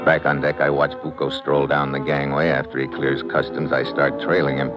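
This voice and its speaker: An actor playing a wry, tough American government agent in a clipped, wisecracking old-time radio detective style. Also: male voice, extremely low pitch, flat delivery, moderate pace. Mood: dry, matter-of-fact. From United States. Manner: clipped, wisecracking, hard-boiled. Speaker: lead actor and narrator. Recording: muffled vintage radio recording, music behind.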